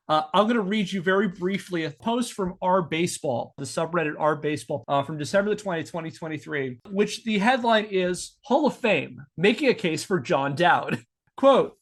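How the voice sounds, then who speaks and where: A man with a noticeably high-pitched voice narrates a story as a podcast host. He slips between scripted-sounding narration and casual banter, with a playful, mock-serious delivery. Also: a bit bright.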